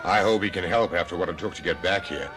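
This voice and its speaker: Radio mystery narrator-lead: actor as calm, protective man, deep register, matter-of-fact gravity, clear musical backing. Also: quick pace, music in the background, close to an everyday voice. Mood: concerned, firm. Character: steady, protective male friend narrating a strange mystery.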